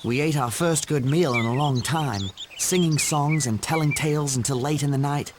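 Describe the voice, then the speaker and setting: An actor playing an earnest, polite young hobbit traveller in a fantasy video game. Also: male voice, on the high side, normal speed, noisy background, natural voice. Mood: calm.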